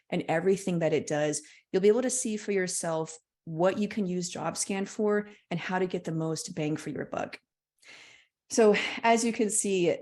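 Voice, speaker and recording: A woman with a somewhat low voice opens an online event, explaining the schedule clearly and steadily. Her words are a little scripted, with a few "um"s along the way. She is heard through a video-call mic. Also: darkish tone. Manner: clear, promotional, occasional fillers. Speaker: event host. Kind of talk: scripted webinar introduction.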